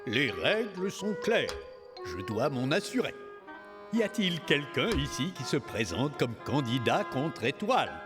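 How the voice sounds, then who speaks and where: A man's voice: on the high side, sing-song, measured pace, over music. An actor playing a kindly, formal rabbit king in a cartoon, speaking in a stately, ceremonious way. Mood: solemn. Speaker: voice actor in a French-language cartoon. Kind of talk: animated film character acting.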